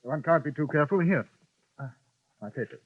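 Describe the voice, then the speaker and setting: An actor with an English accent playing a courteous, dry-witted journalist and narrator in a 1950s radio western. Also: male voice, fairly high pitch, lively intonation, fairly quick, no echo. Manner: courteous, formal and lightly ironic. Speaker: newspaper correspondent and narrator. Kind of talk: 1950s radio drama acting. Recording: dull old radio broadcast with faint music.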